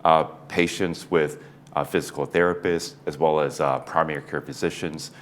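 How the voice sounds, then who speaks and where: A man in his forties, a neurosurgeon guest on an interview show, explaining things in a measured way with light chuckles. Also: very deep voice, steady tone, a bit bright. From United States East Coast. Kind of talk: casual interview talk.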